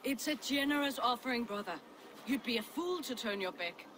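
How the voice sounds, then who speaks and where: An actress playing a firm, guarded Viking noblewoman in a video game, direct and measured in her speech. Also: fairly high pitch, lively intonation, background noise, natural voice. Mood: reproving. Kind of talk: dramatic video game acting.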